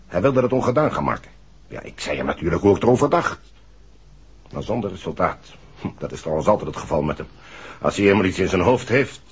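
An actor playing an earnest, confiding man with a husky voice in a 1960s Dutch radio drama. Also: deep voice, big pitch swings, a little fast, full character voice. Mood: apologetic, earnest. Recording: muffled old radio recording.

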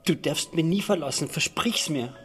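Radio drama brother, forties,: childish, scared, clingy, animated pitch, long reverb with faint music. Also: male voice, moderate pace. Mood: anxious, clinging. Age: about 40. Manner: whiny, childlike, dependent. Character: childish, anxious, clinging brother around forty. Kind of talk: German radio crime drama acting. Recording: long reverb of an echoing room.

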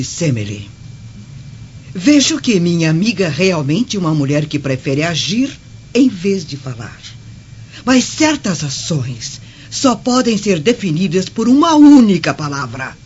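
An indignant, scornful man speaks slowly and theatrically. His high voice swoops widely in a dull radio drama recording with some noise. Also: big pitch swings, heavily acted.

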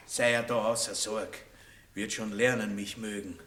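Pompous viennese baron, bright forceful voice, blustery and cowardly, roomy old radio play. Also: male voice, fairly low pitch, flat delivery, measured pace. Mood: pompous, condescending. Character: boorish, self-important, cowardly middle-aged baron.

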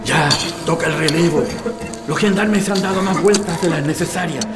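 An actor as a brisk Chilean prisoner under loud music. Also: male voice, fairly high pitch, lightly acted. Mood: urgent, commanding. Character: brisk, encouraging male prisoner directing his comrades. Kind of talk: radio drama acting. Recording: echoey, with loud music over dialogue.

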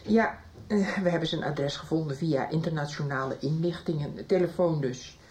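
A woman's voice: low-pitched, normal speed, a little mellow, natural voice. A middle-aged actress playing an anxious mother who apologizes and pleads about her runaway son, in a 1990s radio drama. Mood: worried.

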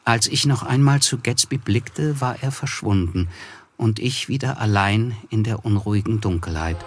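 An actor playing a reflective, wryly observant gentleman who narrates a literary radio play in a measured way. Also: on the low side, a little hiss or hum, close to an everyday voice. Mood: wondering, hushed. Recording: music under the voice. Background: music.

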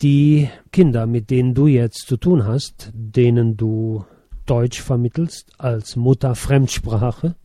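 Male radio interviewer, really dark deep-toned voice, dry close mic, calm questions. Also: slow, no echo. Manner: composed, summarizing.

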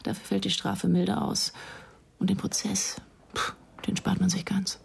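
Husky, weary female investigator. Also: medium pitch, level tone, normal speed, whispered, exaggerated voice. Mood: bitter. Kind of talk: naturalistic German radio crime drama acting.

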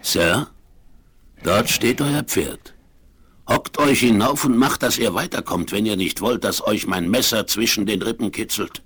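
An actor playing a gruff, scornful, hot-tempered little old frontiersman in a German audio drama. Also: fairly low pitch, unhurried, fairly bright tone. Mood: angry, threatening. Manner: blustering, scornful. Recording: noisy 1970s vinyl radio-play recording.

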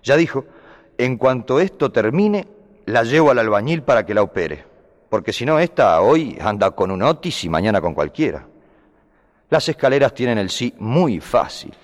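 A man reciting humorous poetic prose in a measured, deliberate way, with dramatic emphasis. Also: medium pitch, big pitch swings. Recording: long reverberant hall sound.

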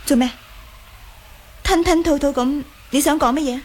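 A woman's voice: high-pitched, normal speed, darkish tone, lightly acted. An actress playing a bitter, defiant young martial arts woman in a Cantonese radio drama. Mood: defiant, vengeful. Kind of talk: Cantonese audio drama acting. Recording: faint background noise.

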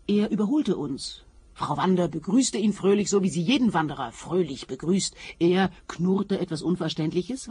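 Wry, talkative female witness in a radio drama: very husky voice, lively intonation, dry close sound. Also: fairly low pitch, no room sound, exaggerated voice. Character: chatty, frank, wry female reluctant hiker. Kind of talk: radio play acting.

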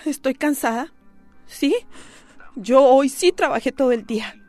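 A high-voiced wife at the end of her patience, from a Costa Rican radio drama. She speaks with a Costa Rican accent and stays firm and reproachful. Soft music runs faintly behind her. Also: a little slow, no room sound. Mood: fed up. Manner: firm, reproachful, formal address. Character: weary wife finally standing up to her husband.

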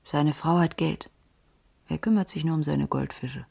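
Low, gravelly, world-weary streetwise woman. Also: a little slow, a little mellow, exaggerated voice. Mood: subdued, wistful. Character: careworn, streetwise female ex-cop with a tip. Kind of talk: radio drama dialogue, hard-boiled crime.

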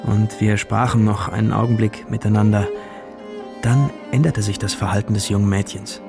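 Wistful young student, gravelly, over music. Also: male voice, lowish voice, steady tone, normal speed. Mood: hesitant, yearning. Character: lovestruck young male student recalling a dreamlike encounter. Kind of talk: first-person literary narration in a radio play. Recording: radio drama production with music behind.

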